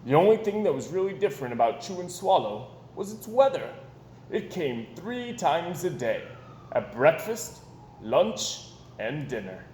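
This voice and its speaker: A man reading a children's story aloud in an expressive, animated storytelling style, with dramatic pauses and emphasis. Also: fairly high pitch. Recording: echoey room with background noise.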